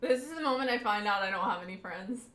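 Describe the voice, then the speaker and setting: A woman's voice: mid-range voice, animated, fast, fairly bright tone, echoey room. A young woman with a Southern US accent, chatting casually with her live audience as a streamer and laughing often mid-sentence.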